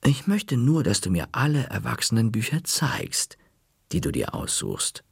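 A man's voice: mid-range voice, very animated, measured pace, vocal fry, husky, no echo, full character voice. A man voicing a stern, bony older female librarian with a dry, curt, faintly menacing way of talking.

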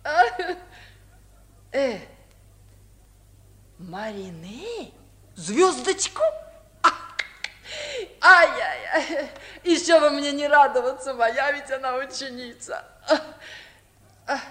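A woman's voice: sits high, expressive, slow, pauses often, bright, forceful tone, heavily acted. An actress playing an old village grandmother who scolds, laments and dotes with fussy exclamations, in 1950s radio comedy style. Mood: doting, fussing. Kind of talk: Soviet 1950s radio musical comedy acting. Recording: 1950s Soviet radio recording.

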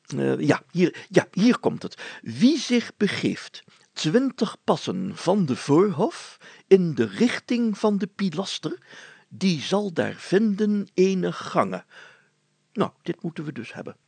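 A man voicing a pompous, fussy older village mayor in a storybook, formal, deliberate and full of hesitant hemming. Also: sits high, sing-song, vocal fry, darkish tone, breathy edge, dry recording, pitched above the performer's own voice, exaggerated voice. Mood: searching, explaining.

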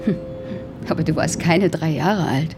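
An actress in a radio drama playing an anxious, caring older sister with a guilty streak, speaking naturalistically and intimately. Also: female voice, low voice, unhurried. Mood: gentle, wistful. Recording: music behind the voice. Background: music.

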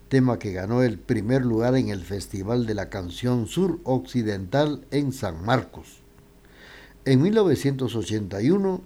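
A man hosting a radio music program, talking in an unhurried way with frequent hesitations and fillers. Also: mid-range voice, warm tone. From Guatemala. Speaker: radio host.

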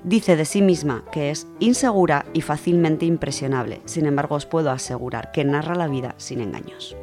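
A woman's voice: low-pitched, normal speed. Spanish woman reviewing books over music. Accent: Spain. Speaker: literary segment contributor on a radio show. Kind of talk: conversational book review on radio. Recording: music under the voice. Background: background music.

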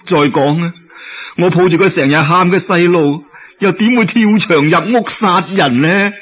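A man's voice: sits high, measured pace, husky, dull audio, voice pushed up. A man with a Cantonese accent voicing a poor, desperate scholar in a storytelling, with emphatic, emotional pleading.

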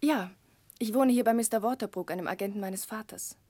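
An actress playing a gentle, earnest, caring young woman with a soft voice in a 1960s radio drama. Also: medium pitch, brisk, no echo, natural voice. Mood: fond. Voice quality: soft, breathy.

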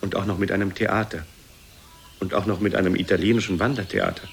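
A severe son in his thirties, his voice on the low side. He speaks formally and deliberately in radio-play style, disapproving, with faint noise behind him. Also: fairly even intonation. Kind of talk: theatrical German radio drama acting.

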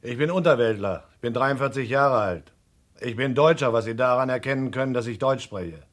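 A man's voice: mid-range voice, brisk, close to an everyday voice. An actor playing a formal, proud underworld crook in his forties with an accent from Germany in a radio play.

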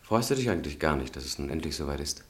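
An actor playing a controlled, secretly calculating young student in a radio drama, speaking in a measured, softly probing way. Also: male voice, very deep voice, sing-song. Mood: calm, solicitous. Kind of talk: German radio drama acting.

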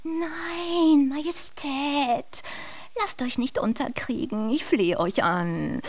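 A woman's voice: sits high, takes it slowly. An actress playing an anxious, deferential servant of a queen in a radio play, pleading breathily.

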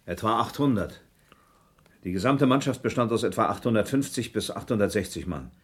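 A man's voice: fairly low pitch, lively intonation, brisk, husky. An actor playing a reserved, dutiful male ship's officer giving terse, deferential testimony in a German documentary radio drama. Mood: matter-of-fact, subdued. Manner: clipped, deferential, matter-of-fact. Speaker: witness.

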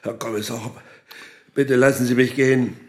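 Scrap collector under questioning , male actor: wretched, nauseous, apologetic, middle-pitched, radio drama. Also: steady tone, close to an everyday voice. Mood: miserable, defensive. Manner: defensive, apologetic, halting. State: nauseous, miserable. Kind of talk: German radio drama acting.

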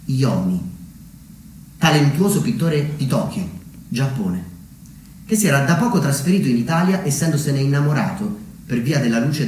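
A man delivering a scripted theatrical monologue as a storyteller, measured, with deliberate dramatic pauses. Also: on the high side, warm tone. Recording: very echoey room, distant mic, slight noise.

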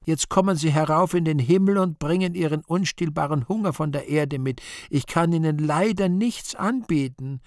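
A man's voice: on the high side, moderate pace, hardly any pauses, a little mellow, no echo, studio quality, voice pushed up, natural voice. A man playing a regal, condescending and easily appalled Virgin Mary in a radio play, in a genteel, exclaiming manner. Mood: exasperated, condescending.

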